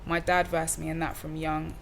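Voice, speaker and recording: A woman's voice: low-pitched, completely flat delivery, normal speed, gravelly, fairly bright tone, a little hiss or hum. A young woman from Cardiff, chatting casually in an interview with loose slang, fillers and restarts. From Cardiff, Wales. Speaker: musician guest.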